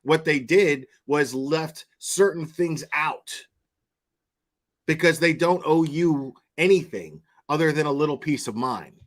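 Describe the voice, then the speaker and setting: A man's voice: on the high side, measured pace. A man giving opinionated, off-the-cuff online commentary, repeating words for emphasis and often checking in with the listener. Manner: emphatic, checks with "okay?" and "right?". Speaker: commentary vlogger.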